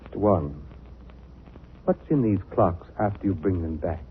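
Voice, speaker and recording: An actor playing a middle-aged, bitter, grieving shopkeeper father with emotional, halting delivery in a 1940s radio drama. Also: low-pitched, animated. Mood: accusing. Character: grieving, bitter father, a curiosity shop dealer. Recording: tinny, noisy 1940s radio recording.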